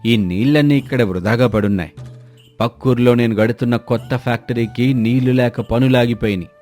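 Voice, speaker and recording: A man's voice: medium pitch, a little fast, a little mellow, faint music behind, lightly acted. A man voicing an arrogant, greedy contractor who threatens and orders people around in a moral story. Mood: scheming. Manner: commanding, insulting. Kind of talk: acted storybook dialogue.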